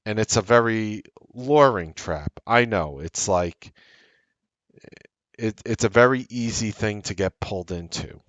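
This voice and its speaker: A man's voice: on the low side, unhurried, a bit bright, no room sound. A man of about forty talks straight to the viewer. He presses his points hard and repeats them in an earnest, salesy way. The sound is close and dry, with no room behind it. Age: late 30s. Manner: emphatic, repetitive, salesy and earnest. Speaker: trading show host. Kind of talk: direct-to-camera market commentary.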